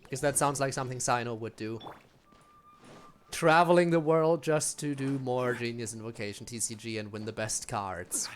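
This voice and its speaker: Casual male game streamer, medium pitch. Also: normal speed, slight background noise. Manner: musing aloud, reacting to the game. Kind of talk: casual playthrough commentary.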